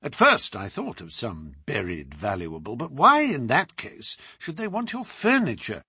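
Storybook sleuth, male reader in character: shrewd, commanding, precise, slightly husky, wide swings in pitch. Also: wildly swooping pitch, measured pace, bright, forceful tone, dry recording, studio quality, full character voice. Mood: brisk, severe. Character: shrewd male detective, sardonic, commanding, teasingly polite.

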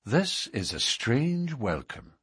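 A bold young-blooded outlaw from an audiobook, played by a man. He is self-assured and matter-of-fact in his defiance, with a slightly husky voice, lively intonation and a close, dry sound. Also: lowish voice, moderate pace, no echo, heavily acted. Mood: dignified. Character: cool, bold male outlaw newcomer, quick-tongued. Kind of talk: audiobook character dialogue.

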